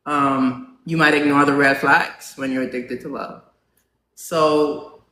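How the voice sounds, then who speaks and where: A non-binary host in their forties chatting casually with a live audience, asking them questions and filling pauses with "um". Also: mid-range voice, fairly bright tone. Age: 40s. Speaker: live talk show host. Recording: roomy echo, faint background hum.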